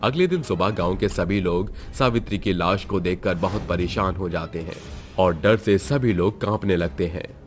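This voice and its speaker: A man with a deep, low voice narrates a scary story as a scripted tale. His delivery is that of a dramatic storyteller, with music playing faintly behind him. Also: normal speed.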